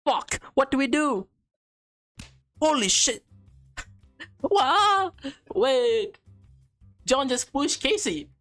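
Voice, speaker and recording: A woman's voice: high voice, sing-song, very slow, long pauses, fairly bright tone, soft background music. A woman reading a visual novel aloud as a let's play, switching between narration and casual commentary. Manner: reacts aloud in informal asides.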